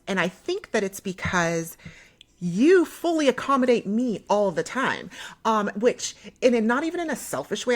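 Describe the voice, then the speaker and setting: A young American woman hosting a podcast in casual conversation, chatty and explanatory, often checking in with the listener. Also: mid-range voice. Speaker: podcast host.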